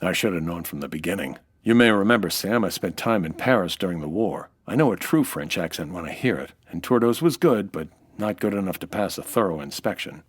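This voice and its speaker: A man's voice: low voice, lively intonation, a little fast, few pauses, husky. A man with an American accent playing a dry, wisecracking, hard-boiled nightclub owner in a 1940s-style radio detective drama. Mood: dry, assured. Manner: dry, wisecracking, world-weary.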